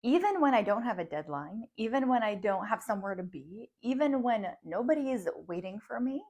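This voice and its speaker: Woman in her thirties, psychologist and coach, first language Russian; reflective talk to camera, quick laughs between thoughts. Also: on the high side, very animated, a little mellow. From grew up in the United States from age ten, born abroad.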